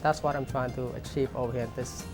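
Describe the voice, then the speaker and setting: A woman's voice: very deep voice, fairly even intonation, fast. A woman from Cambodia, a chef and restaurant owner, speaking earnestly in an interview. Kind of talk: interview to camera. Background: music.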